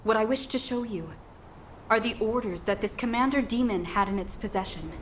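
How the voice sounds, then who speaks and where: A woman's voice: fairly high pitch, a little fast, slight background noise, close to an everyday voice. An actress playing a solemn warrior woman in a video game, earnest, with stiff formal diction.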